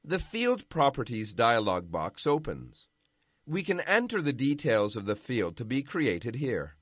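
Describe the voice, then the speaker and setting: A man giving scripted, measured narration for a software tutorial. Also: medium pitch, big pitch swings, slightly rough, no room sound, studio quality. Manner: measured, instructional.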